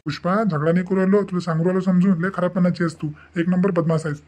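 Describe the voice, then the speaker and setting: A man's voice: high-pitched, fairly even intonation, fast talker, darkish tone. A man voicing a grumbling, exasperated village husband in an amateur cartoon comedy, matter-of-fact, with a Varhadi Marathi accent.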